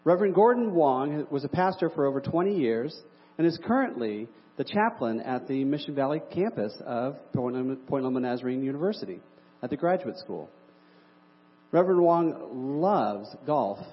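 A man with a husky, breathy voice that sits a little high, introducing the guest preacher in a plain, scripted way. The sound is dull and carries a long church-hall reverb. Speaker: church board secretary. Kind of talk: formal introduction.

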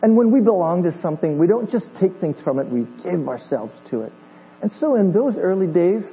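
Joking man from Hawaii preaching a sermon. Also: a little high. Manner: friendly, joking, with many fillers and restarts. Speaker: pastor. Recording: dull lo-fi church recording with mains hum.

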